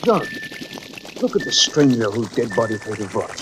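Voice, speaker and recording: An actor playing an obsessed, urgent professor of science in old radio drama, earnest, commanding and melodramatic. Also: male voice, on the low side, very animated, normal speed. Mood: feverish excitement. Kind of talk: 1940s radio drama acting. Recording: old radio recording, music behind.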